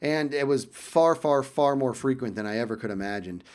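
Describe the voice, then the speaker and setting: A man casually telling a personal story in vlog style, animated and emphatic, often repeating phrases for effect. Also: mid-range voice, normal speed.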